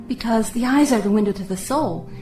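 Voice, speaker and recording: Woman acting as unseen female companion, gentle, probing, measured, audio drama, echoey sound, music behind. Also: mid-range voice, close to an everyday voice. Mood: gentle, reassuring.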